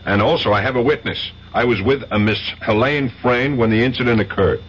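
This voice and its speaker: An actor playing a hard-headed American detective in a 1970s radio drama, with an American accent. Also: male voice, medium pitch, brisk, a bit bright, natural voice. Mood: insistent, indignant. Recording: muffled, noisy old radio recording.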